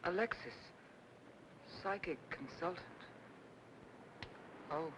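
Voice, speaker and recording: An actress playing a refined young widow, wary but playful, in 1940s film noir style. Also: female voice, expressive, slow. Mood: startled, wary. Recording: noisy old film soundtrack with rumble.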